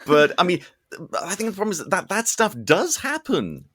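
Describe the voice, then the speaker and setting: A man hosting a podcast in a casual, animated, self-deprecating and joking interview style. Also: high voice, moderate pace, a crowd in the background. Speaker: podcast host.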